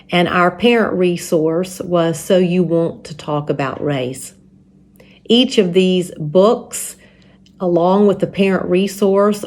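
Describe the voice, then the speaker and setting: A woman leading a church story time, introducing and reading a children's book aloud in a measured, explanatory way. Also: lowish voice, darkish tone.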